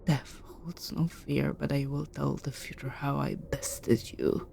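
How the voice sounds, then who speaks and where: A woman voicing a defeated but defiant male enemy creature in a story, in a proud rumble. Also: level tone, slow pace, vocal fry, dark tone, full character voice. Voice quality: low rumble.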